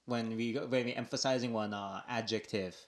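A man's voice: mid-range voice, fairly even intonation, normal speed. Easygoing man with a Hawaiian Pidgin accent. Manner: easygoing, playful, leads the topic. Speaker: podcast co-host. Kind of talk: casual chat.

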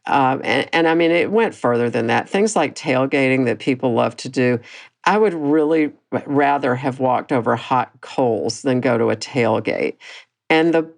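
Woman in her 60s, podcast host, really deep quiet voice, thoughtful, trips and restarts a lot. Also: expressive, a little slow. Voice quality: low voice that does not project. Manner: candid, reflective, with frequent restarts. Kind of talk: personal monologue podcast.

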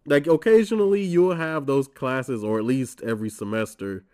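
A man's voice: medium pitch, moderate pace, a little mellow. A stuffy-nosed man in his twenties talks into a podcast mic, getting over a cold. He rants in a wry, casual, profane way as the host of his own show. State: congested from a cold. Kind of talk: casual solo monologue.

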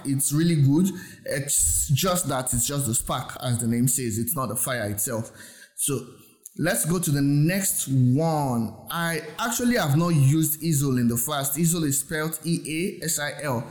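A man's voice: fairly high pitch, a little slow, darkish tone. A man with a Nigerian accent presenting an informal tutorial, explaining in a conversational, reassuring way and repeating points for emphasis. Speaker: host presenting software reviews. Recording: roomy, echoing sound.

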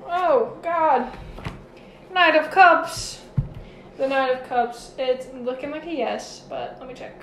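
A woman's voice: sits high, takes it slowly. A young woman reading tarot cards for friends in a casual, playful podcast chat. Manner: giggly, playful, reads cards aloud. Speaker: tarot reader. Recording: echoey room, background noise.

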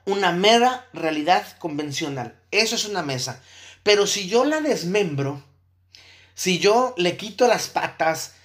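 A man's voice: sits high, fairly bright tone. A man giving an explanatory lecture on Buddhist ideas, often checking in with his listeners and thinking aloud as he goes. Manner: checks in with listeners with frequent tag questions.

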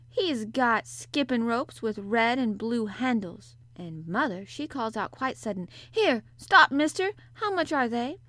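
An excitable young country maid, acted out by a woman reading a storybook, with a Yorkshire accent and a cheerful temper. She chatters freely and bursts into exclamations. The sound is close and dry. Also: medium pitch, dry recording, natural voice. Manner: exclamatory, chatty, dialect-speaking.

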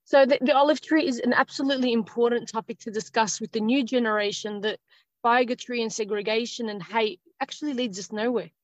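A woman's voice: on the high side, steady tone, fairly quick, no echo. A woman in her thirties with an Australian accent, talking as a guest in a casual interview, rambling and hesitant with many fillers. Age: 30s. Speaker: guest author. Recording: dry, dull lo-fi sound.

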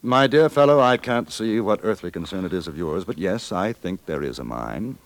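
An actor playing a courteous, cool-headed gentleman adventurer, narrating and acting formally in a 1950s radio drama. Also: low-pitched, animated, vocal fry. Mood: cool, curt. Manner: polite, formal, measured.

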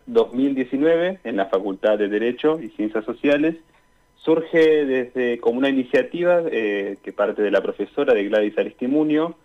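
Dull-sounding call, young Argentine man. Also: medium pitch. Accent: Argentine Spanish. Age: young adult. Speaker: student guest, radio drama performer. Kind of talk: casual interview answers.

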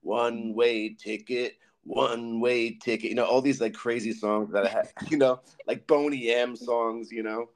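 A man's voice: medium pitch, steady tone. A man hosting a casual interview, talking eagerly with many restarts and fillers. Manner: enthusiastic, halting with many restarts. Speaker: podcast host.

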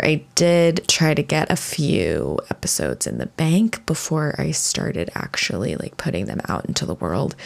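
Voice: medium pitch, vocal fry. A podcast host talking casually on their own, rambling with lots of fillers and restarts. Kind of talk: casual solo podcast monologue.

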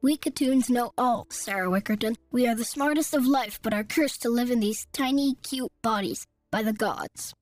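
A man's voice: very high-pitched, normal speed, hardly any pauses, soft background music, pitched above the performer's own voice. A man voicing a group of tiny, fluffy forest creatures in a storybook reading. They talk in a prim, chattering way. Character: tiny fluffy gray tree creatures, proud, clever.

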